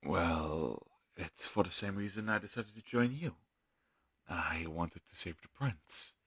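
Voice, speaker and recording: A man playing an earnest, kind fantasy healer in an audio roleplay, speaking in a gentle, hesitant, slightly husky voice. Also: sits low, unhurried, dry recording, studio quality, full character voice. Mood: sincere, apologetic. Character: earnest, kind young male fantasy healer, self-doubting.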